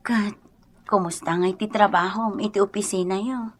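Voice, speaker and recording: A woman's voice: medium pitch, steady tone, normal speed, lightly acted. A woman with an Ilocano accent playing an affectionate, concerned wife in a radio drama. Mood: caring.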